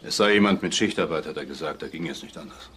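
Very low-voiced, stern middle-aged father. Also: fairly bright tone, a little hiss or hum. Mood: tense, grieving. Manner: slow, curt, halting. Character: stern, controlling, grieving father of a grown daughter. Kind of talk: German crime radio drama acting.

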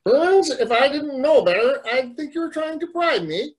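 A woman's voice: high-pitched, very animated, normal speed. A woman playing a stern, incorruptible government inspector, indignant and formal, in an amateur cartoon table read.

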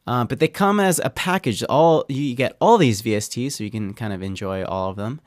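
A man talking through a software tutorial as an audio engineer, in an easygoing, conversational way with informal asides. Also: medium pitch, a little fast, no room sound, studio quality. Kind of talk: casual software tutorial talk.

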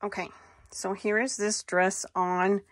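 A woman's voice: medium pitch, flat delivery, slow pace, no echo, clean studio sound. A middle-aged woman with an American accent, chatting casually to viewers as a fashion vlogger in an unscripted way. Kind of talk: casual haul and try-on chat. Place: small indoor walk-in closet. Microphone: handheld phone.

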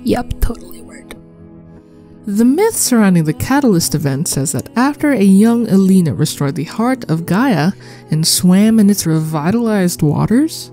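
A woman's voice: lowish voice, mellow. A young woman giving a casual, informal voice-over in a self-aware, chatty way with slangy asides. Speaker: video creator narrating her worldbuilding.

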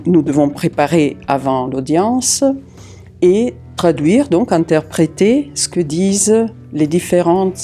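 A middle-aged woman with an Italian accent, an interpreter answering interview questions with many hesitant filler pauses, seated in an office. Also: low-pitched, warm tone. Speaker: court interpreter. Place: office room with large window.

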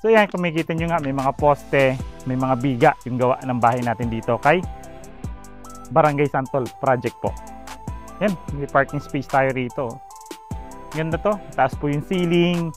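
A man's voice: mid-range voice, normal speed, pauses often, faint music behind. A man in his thirties from the Philippines, an agent hosting a walking site tour outdoors on a lapel mic, talking in an upbeat sales patter full of fillers. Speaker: real estate agent and channel host. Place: outdoor construction site, sunny and open. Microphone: clip-on lapel mic.

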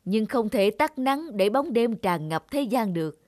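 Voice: medium pitch, animated, a little slow, no echo, clean studio sound, close to an everyday voice. An actor playing a stern, imperious old male sun god in a cartoon fairy tale. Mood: grave.

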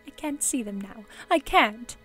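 A woman's voice: on the high side, expressive, unhurried, soft background music. A woman playing a wealthy older widow in an audio drama, earnest, theatrical and full of feeling. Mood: wistful, subdued. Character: wealthy older widow, wistful, emotional and effusive.

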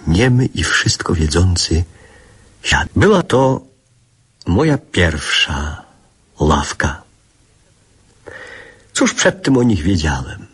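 A man's voice: deep voice, sing-song, takes it slowly, long pauses, fairly bright tone, breathy edge, heavily acted. An actor playing a nostalgic older man who narrates memories of his boyhood in a lyrical, reflective radio drama style.

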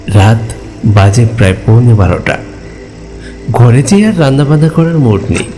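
A man's voice: medium pitch, expressive, moderate pace, warm tone, lightly acted. Man playing a stranded traveler telling ghost tales, music behind. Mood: weary. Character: hungry, stranded male village traveler recounting a ghost story. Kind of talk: scripted first-person horror story narration with acted dialogue.

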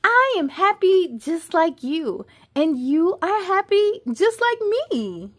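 A woman voicing a little girl with big, changing feelings in a children's storybook, slow and expressive. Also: extremely high pitch, full character voice. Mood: happy. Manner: slow, exaggerated, expressive delivery. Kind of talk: children's storybook read-aloud, acted out.

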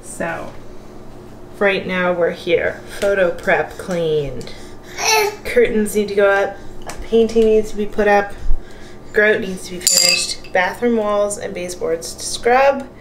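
A woman's voice: slow pace, echoey room, background noise. A woman in her thirties from Canada narrating her home cleaning in a casual, chatty vlog, recorded in a small tiled condo. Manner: chatty, talks to her toddler in a high sing-song. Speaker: vlogger.

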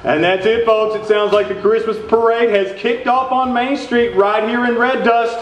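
A man on stage playing a folksy, sincere small-town radio host, reading in old-time radio show style. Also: high-pitched. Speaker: radio announcer. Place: indoor theater stage. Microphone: stand mic, heard through far camera. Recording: echoey stage hall, distant muffled audio.

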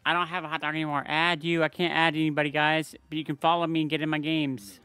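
Bright-voiced man hosting a game stream. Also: on the high side, level tone, quick pace, smooth, no room sound, faint music behind. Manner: chatty, talks to viewers and family in bursts. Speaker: livestream host running giveaways. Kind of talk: casual livestream chat.

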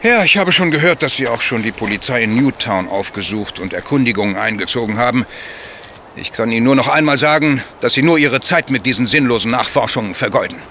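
A gentleman widower under suspicion in a radio play. His voice is middle-pitched and sharp, his manner formal, insistent and defensive. There is faint outdoor ambience behind him. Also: animated, a little fast, a little hiss or hum. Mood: coolly dismissive. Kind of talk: German radio play acting.

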